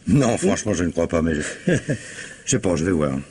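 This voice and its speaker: A man acting a calm, wry, middle-aged police detective in a radio crime drama, measured and probing with hesitant pauses. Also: sits low, slight background noise. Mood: guarded, dry.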